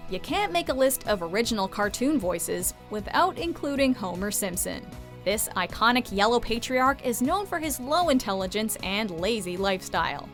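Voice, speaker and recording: Lively high-voiced woman narrating. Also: normal speed, faint music behind. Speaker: countdown list narrator. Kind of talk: scripted narration.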